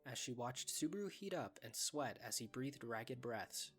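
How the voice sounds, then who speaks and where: A man's voice: medium pitch, expressive, moderate pace, faint music behind. A man reading scripted story narration as the narrator of a fan dub.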